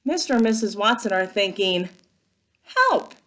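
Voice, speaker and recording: A woman teacher leads a read-aloud lesson for young children. Her voice sits a little low but moves widely in pitch, with an instructive, expressive storytelling delivery. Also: a little slow. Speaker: first-grade teacher.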